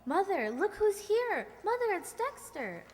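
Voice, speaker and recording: A woman's voice: very high voice, big pitch swings, measured pace, full character voice. A woman acting a cheeky, blunt young kid sister in a radio play. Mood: excited. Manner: blunt, impish. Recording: echoey hall, stage radio performance.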